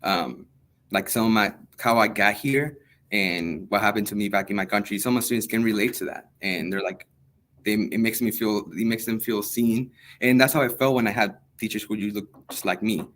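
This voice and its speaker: Earnest, hesitant young man, low voice. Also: normal speed, fairly bright tone. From El Salvador. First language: Spanish. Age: young adult. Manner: hesitant, many ums, earnest. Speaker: high school history teacher. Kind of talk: interview answers.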